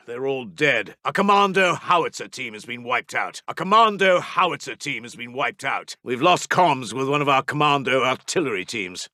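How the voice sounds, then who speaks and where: Clipped, bright-edged British wartime officer. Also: male voice, medium pitch, no room sound, studio quality. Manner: clipped, terse, grave military reporting. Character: British WWII army intelligence officer, grim, urgent, clipped. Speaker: game voice actor. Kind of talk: military radio report voicelines for a strategy game.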